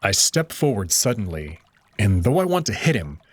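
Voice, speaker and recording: Male narrator for a game reading; measured, tense delivery, husky tone. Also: fairly low pitch, very animated, dry recording. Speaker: visual novel narrator. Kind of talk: first-person story narration.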